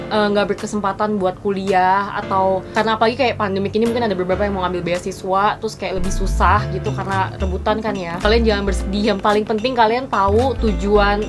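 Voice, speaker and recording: A 21-year-old woman from Indonesia chatting casually in a Q&A vlog, mixing English phrases into her talk. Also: medium pitch. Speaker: vlogger. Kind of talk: casual Q&A chat while snacking. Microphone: home microphone. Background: music.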